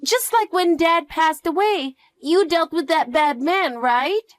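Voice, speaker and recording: A woman's voice: very high-pitched, slow, no echo, studio quality, pitched above the performer's own voice. A woman voicing a frightened little girl who clings to her mother with pleading questions. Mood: eager. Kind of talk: dramatic dialogue.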